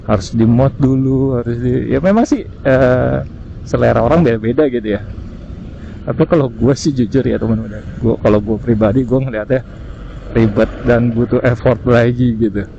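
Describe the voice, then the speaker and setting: A man chatting casually through an unscripted review, often pausing to search for words. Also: mid-range voice. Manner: hesitant, searching for words, frequent tag words. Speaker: vlogger reviewing gear. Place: outdoors on the road at night. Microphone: helmet intercom microphone. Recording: muffled intercom mic with road noise. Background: vehicle and street noise.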